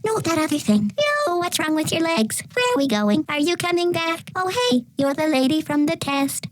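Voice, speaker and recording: A woman voicing a childlike, excitable and endlessly curious little robot orb in a video game, full of wide-eyed questions. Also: high-pitched, moderate pace, soft background music. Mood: eager, curious. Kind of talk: scripted video game voice acting.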